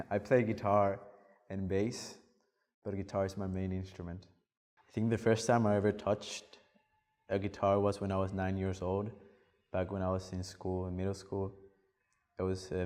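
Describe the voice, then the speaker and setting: A man's voice: deep voice, little pitch movement, measured pace, darkish tone. A nineteen-year-old man with a Honduran Spanish accent, telling his story casually in an interview. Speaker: interviewee, guitarist. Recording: echoey hall with long reverb.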